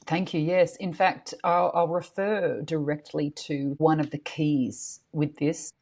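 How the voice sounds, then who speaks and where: A middle-aged woman talks in a low, husky voice. She is earnest and passionate as a podcast guest, swearing lightly in casual speech. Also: level tone, measured pace, darkish tone. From Australia. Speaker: guest, school co-founder. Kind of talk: podcast interview.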